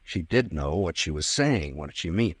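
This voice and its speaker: Low-voiced, relentless police captain. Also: male voice, animated, normal speed, slightly rough. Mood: stern, accusing. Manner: pressing, sardonic questioning. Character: stern, relentless police captain interrogating a suspect. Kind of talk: old-time radio drama acting.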